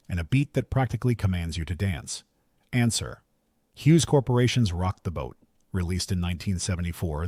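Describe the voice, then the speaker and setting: A man narrates a scripted countdown in a fairly low voice. His delivery is polished and measured, like a documentary storyteller. The sound is dry and close, recorded as a voice-over. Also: darkish tone, dry recording.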